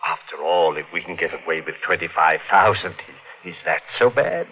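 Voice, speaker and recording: A smooth, menacing killer. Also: male voice, sits low, expressive, moderate pace, heavily acted. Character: greedy murderous husband, narrating his own crime. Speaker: narrator and lead. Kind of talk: 1950s radio drama acting. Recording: dull old radio recording with faint hiss.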